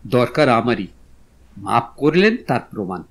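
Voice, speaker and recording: An actor playing a courteous, witty young gentleman in an audio drama, speaking in polite, florid literary phrases. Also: mid-range voice, big pitch swings, measured pace. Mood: gallant, pleading. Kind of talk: Bengali audio drama acting.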